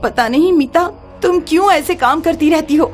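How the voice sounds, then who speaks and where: A woman's voice: high-pitched, moderate pace, soft background music, voice pushed up, natural voice. A woman voicing a timid, anxious young junior museum worker in a horror story, nervous and pleading. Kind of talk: animated horror story character acting.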